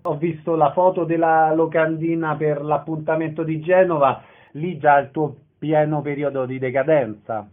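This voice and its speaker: A man's voice: a little high, steady tone, moderate pace, few pauses. A man interviewing a guest in a conversational radio style. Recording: thin phone-like sound, heavy noise, clicks.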